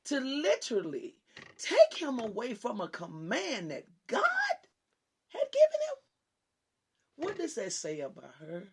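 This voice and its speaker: A woman with a raspy voice that people on the phone mistake for a man's, preaching with fervour as host of her show and swinging from loud exclamations to hushed asides. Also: huge pitch swings, slow pace, slight background noise. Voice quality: raspy, deep-sounding voice often taken for a man's on the phone. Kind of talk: impassioned preaching on a talk show.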